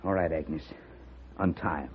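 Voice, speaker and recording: A man's voice: very deep voice, steady tone, a little slow, husky, exaggerated voice. A man playing a wary, desperate, cornered outlaw in an old-time radio Western. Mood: wary, resigned. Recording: thin, narrow-band vintage radio recording.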